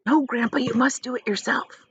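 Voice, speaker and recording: A woman voicing an earnest, gentle young grandson in a storybook, hushed and whispery. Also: fairly high pitch, fairly even intonation, moderate pace, breathy edge, dry recording, pitched above the performer's own voice. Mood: nervous, encouraging.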